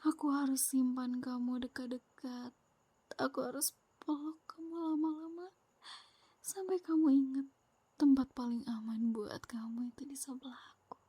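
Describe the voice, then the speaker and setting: A young woman voicing a clingy, possessive, jealous girlfriend in a whispered ASMR roleplay, soft, sweet and needy, close to the microphone. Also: high voice, normal speed, breathy edge, no room sound.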